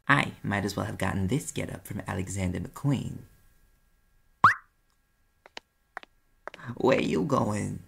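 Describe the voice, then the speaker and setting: A man voicing a sarcastic demon private eye in an audio roleplay, with a New York accent and a gravelly, husky voice. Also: fairly low pitch, a bit bright, slight background noise, exaggerated voice. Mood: defensive, teasing. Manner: sardonic, casual, swearing, defensive. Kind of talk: audio roleplay acting, conversational.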